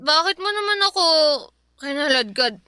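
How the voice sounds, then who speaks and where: A woman's voice: sits high, expressive, moderate pace, bright, forceful tone, dry recording, studio quality. An actress playing a drunk, heartbroken young woman in a Filipino radio drama, with a tipsy, whiny drawl and a Filipino accent. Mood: hurt, pleading.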